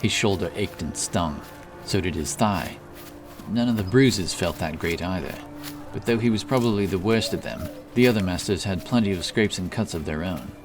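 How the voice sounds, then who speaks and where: Deep-voiced male fantasy narrator. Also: moderate pace, over music. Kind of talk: dramatic fantasy audiobook narration. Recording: clear background ambience under voice.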